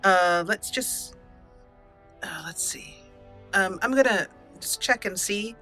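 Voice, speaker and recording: A woman hosting a gaming channel with a bright, forward voice at a middle pitch. She chats through a roleplay let's play, giggly and informal, sometimes reading lines in character. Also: takes it slowly, faint music behind.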